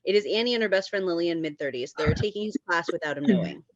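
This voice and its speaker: A woman's voice: fairly low pitch, quick pace, no room sound, muffled recording. A woman reading screenplay stage directions as the narrator of a table read.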